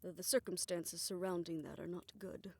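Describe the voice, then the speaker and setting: Woman playing a weary, low-pitched older lady, tender. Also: steady tone, normal speed, creaky, slightly rough. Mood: somber, sympathetic. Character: weary, affectionate older woman confiding in her partner. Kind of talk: intimate romantic audio roleplay.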